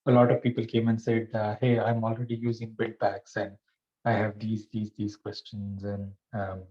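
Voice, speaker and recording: A man's voice: fairly low pitch, completely flat delivery, moderate pace, dark tone. A man talking casually to colleagues in a meeting, phrasing things piecemeal and a little hesitantly. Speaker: meeting participant. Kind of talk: casual anecdotal meeting talk. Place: online video call. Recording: slightly dull call audio.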